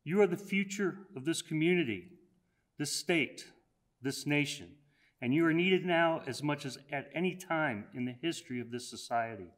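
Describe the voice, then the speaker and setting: An older man speaks at a college podium, giving a prepared commencement address in an earnest, measured way. His voice sits somewhat high for a man. He slows right down to stress the lines he wants to land. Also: animated.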